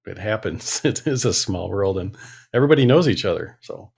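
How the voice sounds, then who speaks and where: A man's voice: fairly low pitch, expressive, a little fast, gravelly, darkish tone, husky. A middle-aged man co-hosting a casual podcast chat, easygoing and joking. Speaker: podcast co-host.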